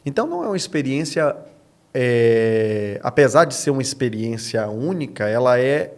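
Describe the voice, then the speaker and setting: A man's voice: medium pitch, big pitch swings, normal speed. Brazilian man in his thirties, earnestly explaining. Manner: earnest, explanatory, repeats phrases for emphasis. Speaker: pastor, podcast guest. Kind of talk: podcast interview answers.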